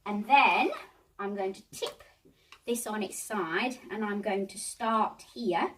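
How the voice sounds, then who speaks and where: A woman's voice: mid-range voice, slow, far from the mic. A woman hosting a casual hands-on craft tutorial, chatty and talking to herself as she works. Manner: chatty, self-talking while working.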